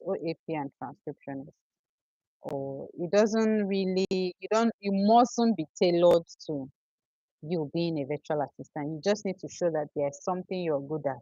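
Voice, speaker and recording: A woman's voice: lowish voice, mellow, dry recording, lo-fi sound. A young Nigerian woman with a Nigerian accent, chatting casually as she answers viewers' questions on a livestream. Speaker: livestream host.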